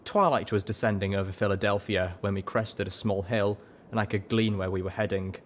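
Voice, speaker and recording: Male audiobook narrator: lowish pitch, expressive scripted read, noticeable background noise. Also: brisk. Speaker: audiobook reader and radio-style host.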